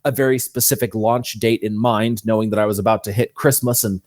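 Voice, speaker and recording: A man's voice: lowish voice, fairly even intonation, brisk, a little mellow, no echo. Man, guest author, earnest and explanatory, conversational, close dry sound. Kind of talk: conversational interview.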